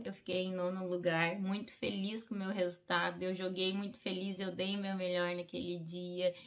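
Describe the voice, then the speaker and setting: A woman's voice: mid-range voice, fairly bright tone. A middle-aged woman, telling a personal story in a heartfelt, conversational way, as an athlete in a documentary interview. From Brazil. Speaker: Paralympic fencer, interviewee.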